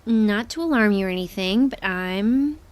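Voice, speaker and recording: A woman's voice: mid-range voice, expressive, normal speed, lightly acted. A woman playing an observant crew scientist in an audio drama, hesitant yet matter-of-fact, with an exasperated edge. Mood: hesitant alarm. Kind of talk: naturalistic audio drama acting.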